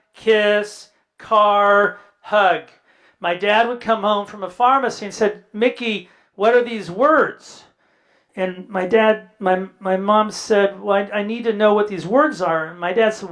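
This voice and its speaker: High-voiced man in his sixties telling family stories. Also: fairly bright tone. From Indiana, US Midwest. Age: 60s. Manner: anecdotal, quotes family members in dialogue, dry humor. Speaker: guest speaker. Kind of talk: personal storytelling talk.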